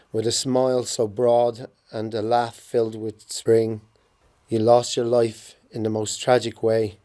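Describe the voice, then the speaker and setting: A man in his forties with a Dublin accent reads a prepared poetic eulogy to his sister, solemn and halting in places. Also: mid-range voice, warm tone. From Ireland. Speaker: bereaved brother giving a tribute.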